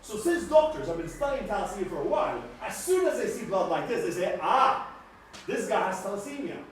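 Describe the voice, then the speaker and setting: Excitable, kindly scientist, acted by a man: high, flustered, stammering explainer for a children's puppet show, very echoey. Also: brisk. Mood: earnest, flustered. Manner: stammering, repetitive, emphatic, checking with "okay?". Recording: echoey room, distant mic, faint noise.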